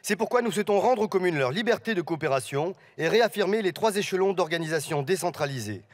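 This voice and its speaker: Male politician, high voice, reading a formal statement in debate. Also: animated, fast. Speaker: politician speaking for a parliamentary group. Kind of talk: formal read political speech.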